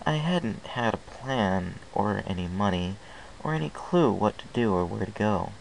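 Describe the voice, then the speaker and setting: Deep, gravelly male storyteller. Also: lively intonation, measured pace, slight background noise, rough recording. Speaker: fan fiction reader. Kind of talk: first-person story narration.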